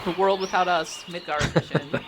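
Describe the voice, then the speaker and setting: A woman's voice: fairly low pitch, steady tone, a little fast, noisy background. A woman giving casual, playfully joking commentary on video game cutscenes as a critic and co-host. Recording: loud music behind the voice. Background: video game music.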